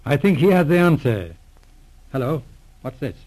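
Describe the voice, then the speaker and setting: Shrewd, unflappable detective inspector. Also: male voice, on the high side, very animated, normal speed, darkish tone. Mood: confiding, thoughtful. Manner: wry, matter-of-fact. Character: shrewd, unflappable male police inspector turned private detective. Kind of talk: 1940s radio drama acting.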